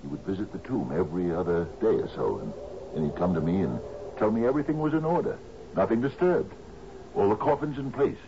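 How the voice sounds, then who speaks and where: An actor in a radio drama playing an anxious, genial older island captain, halting and stammering as he pleads. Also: low voice, animated. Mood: anxious, pleading. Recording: muffled, hissy old radio broadcast.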